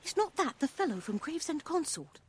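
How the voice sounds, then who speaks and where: A woman's voice: high-pitched, very animated, a little fast, darkish tone, voice pushed up. A determined young woman of Tudor times, acted out by a woman for an audio play. She speaks in old-fashioned phrases with urgency and passion, loyal and set on her course. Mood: alarmed.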